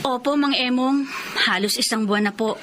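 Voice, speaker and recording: A woman's voice: a little high, brisk, heavy background noise, natural voice. A woman playing a worried, dutiful young daughter in a Filipino radio drama, polite and earnest. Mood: worried, respectful.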